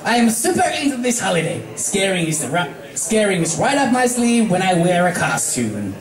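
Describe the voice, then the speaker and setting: Reader doing a cocky hyper girl pony for a story, pitched up, swingy, roomy echo. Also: very animated, moderate pace, soft background music, close to an everyday voice. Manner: enthusiastic, confident. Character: brash, cocky, excitable young female pegasus prankster pony.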